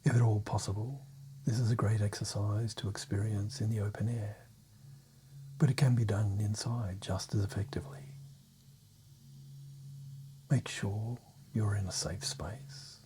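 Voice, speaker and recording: A man leading a guided meditation in a soft, breathy, half-whispered voice, calm and soothing, pausing between short phrases. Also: fairly low pitch, a little hiss or hum. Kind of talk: guided meditation, soft-spoken hypnotic suggestion.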